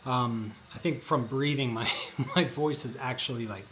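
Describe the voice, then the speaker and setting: A man with a hoarse, worn-out voice rambles through a casual vlog, filling his sentences with ums and restarts. His pitch sits in the middle range. The recording carries a clear layer of background noise. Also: moderate pace, a bit bright. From Colorado. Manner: rambling, with many fillers and restarts. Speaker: vlogger. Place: outdoors at a shopping district in light rain. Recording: noisy outdoor recording.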